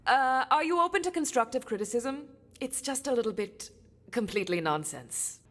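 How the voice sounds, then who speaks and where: A woman's voice: fairly high pitch, lively intonation, moderate pace, fairly bright tone, big-room sound. A woman playing a candid, sardonic treasure hunter in a video game, casual and observant. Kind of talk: video game character dialogue.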